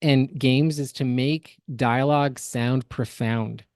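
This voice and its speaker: A man's voice: mid-range voice, steady tone, takes it slowly, darkish tone, smooth, dry recording, clean studio sound. A man hosting a podcast with casual, critical and opinionated reaction commentary. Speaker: podcast host.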